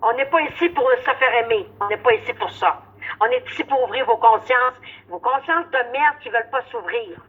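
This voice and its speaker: A man's voice: very high voice, fairly quick. Blunt man on a phone line. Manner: blunt, combative, coarse. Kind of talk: casual chat.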